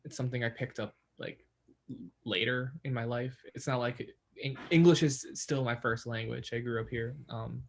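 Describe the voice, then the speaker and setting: A man's voice: mid-range voice, steady tone, moderate pace, gravelly, a little hiss or hum. Young male podcast co-host, hesitant and filler-heavy, casual. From New York, USA. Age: young adult. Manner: hesitant, many fillers and restarts. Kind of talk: casual chat.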